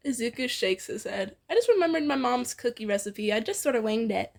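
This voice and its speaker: A woman's voice: fairly high pitch, animated, fairly quick, creaky. A young woman reading fan fiction aloud informally with a friend, in a playful, giggly manner. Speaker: amateur fan fiction reader.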